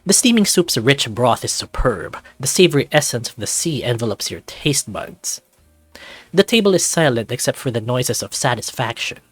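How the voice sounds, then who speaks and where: Lively male narrator, close and dry. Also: medium pitch, normal speed, breathy edge, dry recording. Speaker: narrator and voice actor. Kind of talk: scripted visual novel narration. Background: music.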